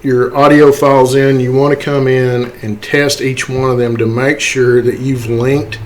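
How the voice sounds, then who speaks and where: A man's voice: medium pitch, flat delivery, a little slow. A man giving a casual, unscripted tutorial in a folksy, self-deprecating way. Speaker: tutorial host. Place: indoors, voice-over to screen capture.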